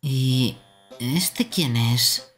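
A man's voice: mid-range voice, drawn-out words. A man co-streaming a live fan dub, reading game dialogue with casual asides. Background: game music.

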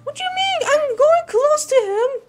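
A man commenting on his gameplay, loud, excitable and swearing, speaking at a desk mic with game audio under him. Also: very high-pitched, normal speed, soft background music. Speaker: gaming streamer.

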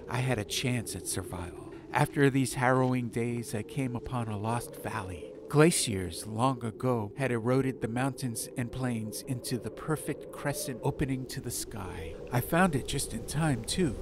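A male storyteller reads a fantasy tale in a calm, measured, hushed first-person voice at an ordinary pitch. A steady bed of ambient noise runs clearly beneath him. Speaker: audiobook narrator. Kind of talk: atmospheric storybook narration.

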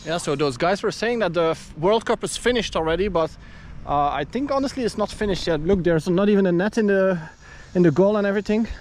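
A man's voice: sits high, fairly quick, hardly any pauses, a little mellow, slight background noise. Chatty Belgian man vlogging outdoors. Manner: chatty, laughs often while exploring on foot. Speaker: travel vlogger. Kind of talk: casual vlog narration. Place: outdoor stadium and its corridors. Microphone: action camera held at arm's length.

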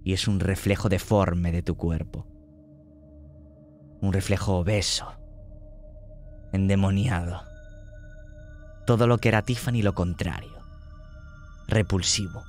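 Deep-voiced Spanish game master. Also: male voice, steady tone, a little mellow, faint music behind. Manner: slow, descriptive and dramatic. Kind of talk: narrating a tabletop horror game.

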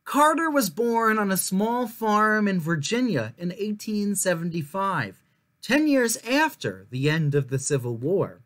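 A young man reading a children's picture book aloud as a storyteller, in measured, clearly phrased narration. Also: high-pitched, very animated, fairly bright tone. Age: young adult. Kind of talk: scripted narration, story time read-aloud.

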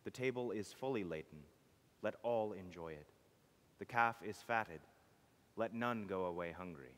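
A man reading a homily as clergy, in a solemn, measured, declamatory liturgical style. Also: deep voice, a bit bright. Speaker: clergy reader. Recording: long reverb of a church.

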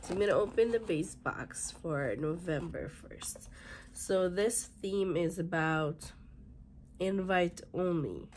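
A woman in her thirties, hoarse and raspy from a cold, chatting through a beauty unboxing and reading product labels aloud in a halting way, in a small room. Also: fairly low pitch, darkish tone, slight background noise. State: hoarse, congested from illness. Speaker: beauty vlogger. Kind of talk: casual unboxing chat. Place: small bedroom with fluffy chair, indoors.

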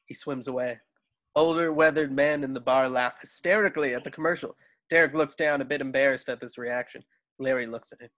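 A man's voice: medium pitch, fairly even intonation, fairly quick, a bit bright. A man reading screenplay stage directions aloud as the narrator of a home table read. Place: at home on a video call.

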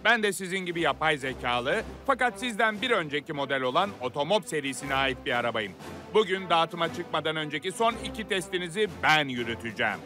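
A man's voice: fairly high pitch, lively intonation, a little fast, over music, natural voice. An actor voicing a stern older-model car in a children's cartoon, curt, formal and commanding as he supervises tests. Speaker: test supervisor. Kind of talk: animated children's cartoon acting.

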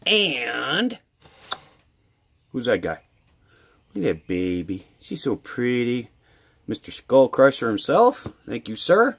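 A man with an American accent talks casually to his viewers as a hobby vlogger. His voice is husky and mid-pitched, with lots of rise and fall. He comes across as enthusiastic and appreciative. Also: big pitch swings, slow, pauses often. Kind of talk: casual chat to camera while opening mail.

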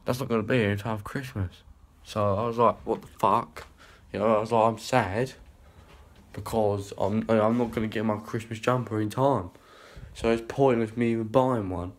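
Sweary young guy, low voice. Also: steady tone, normal speed. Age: young adult. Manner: rambling, sweary, offhand. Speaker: vlogger. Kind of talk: casual vlog chat. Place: small indoor rooms and a hallway. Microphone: phone held at arm's length.

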